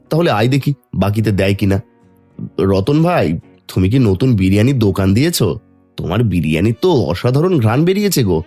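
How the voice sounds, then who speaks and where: Man acting a humble village father, low and animated. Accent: Bengali. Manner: pleading, humble, soft-hearted. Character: poor, gentle, doting village father. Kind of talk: Bengali fairy tale cartoon dubbing. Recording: music under the voice.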